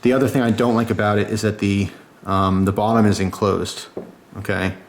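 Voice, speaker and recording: Male reviewer, lowish pitch, casual and chatty, informal enthusiast delivery. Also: flat delivery, a little fast. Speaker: product reviewer.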